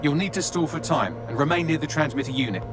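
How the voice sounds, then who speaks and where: A man's voice: on the high side, lively intonation, fast, natural voice. A man as a precise, haughty AI. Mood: businesslike. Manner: precise, formal, articulate, condescending. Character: snarky, brainy male artificial intelligence assistant, fond and haughty. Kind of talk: scripted video game voice acting. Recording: loud music over the voice.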